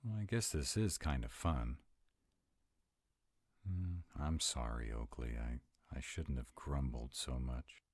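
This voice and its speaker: A man playing a gruff, grumbling tsundere were-dragon who is secretly soft, in an audio roleplay addressed to the listener. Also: a bass voice, slow, no room sound, studio quality, exaggerated voice. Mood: softening, delighted. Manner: grumbling, exasperated, softening into boyish eagerness.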